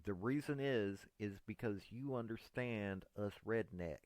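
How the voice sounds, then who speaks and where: A man's voice: lowish voice, a little slow, a little mellow, dry recording. East tennessee guy, 50s, folksy rambling monologue, close dry mic. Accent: Southern US, East Tennessee. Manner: folksy, meandering, earnest. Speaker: political commentator. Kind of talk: direct-address monologue to a politician.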